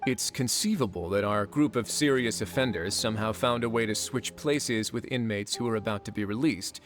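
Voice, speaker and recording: A man voicing a shrewd, authoritative duke in a video game, measured and dryly matter-of-fact, with music underneath. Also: fairly low pitch, close to an everyday voice. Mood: composed, shrewd. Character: composed, shrewd, authoritative male duke in charge.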